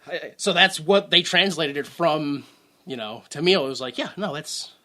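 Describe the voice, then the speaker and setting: Bright-voiced guy rambling and cracking jokes on a movie podcast, kinda high. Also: moderate pace. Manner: rambling, jokey, laughs often. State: has a headache. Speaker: podcast co-host. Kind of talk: casual movie podcast chat.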